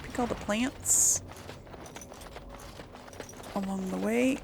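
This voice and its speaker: A woman's voice: mid-range voice, extremely slow, creaky, noisy background. A woman giving casual gameplay commentary, thinking aloud and rambling as she plays. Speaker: gaming video host and player. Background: game ambience.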